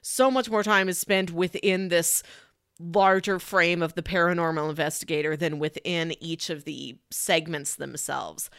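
A woman's voice: on the low side, steady tone, unhurried, a bit bright, smooth, no room sound. Woman podcaster, close dry sound. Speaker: podcast co-host. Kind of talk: casual film discussion podcast.

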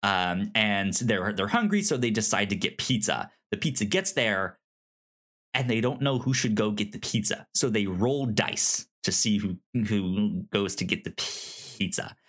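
Low-voiced enthusiastic man, stammering. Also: animated, measured pace, a bit bright. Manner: stammering, repeats sounds and words. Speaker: podcast host. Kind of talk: casual chat about TV shows.

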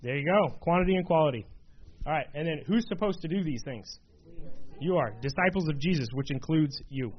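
A man's voice: fairly high pitch, lively intonation, brisk. A man leading a Bible study in a conversational way, often checking in with his listeners. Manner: conversational, many fillers and "right?" checks. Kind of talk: casual teaching to a small group. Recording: dull lo-fi room recording, faint noise.

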